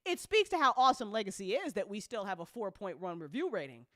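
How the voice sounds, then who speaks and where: A woman's voice: medium pitch, sing-song, quick pace, dry recording, clean studio sound. A woman hosting a casual update talk as an audio drama producer, speaking bluntly and informally with playful slang and free swearing.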